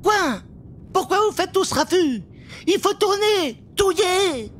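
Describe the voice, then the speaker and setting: A man's voice: very high voice, expressive, slow, a bit bright, a little hiss or hum, pitched above the performer's own voice, heavily acted. A man voicing a bossy, mean old ogress in a fairy tale, scolding in a strained shriek. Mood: irritated. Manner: scolding, exclaiming.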